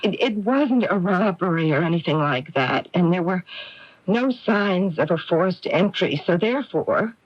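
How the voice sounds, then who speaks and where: An actress playing a worldly, evasive, sharp-tongued middle-aged newspaperwoman, with theatrical emphasis, in a 1980s radio mystery. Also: lowish voice, expressive, a little slow, dry recording. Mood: persuasive, defensive. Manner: theatrical, emphatic, repeats words. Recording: dull, dry lo-fi radio broadcast.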